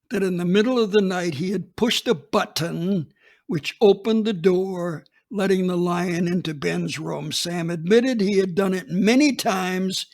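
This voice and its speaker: An actor playing a blunt, unapologetic retired police chief in his eighties, recounting a case in a radio drama. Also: high voice. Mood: somber. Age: 80s. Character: gruff old male retired police chief.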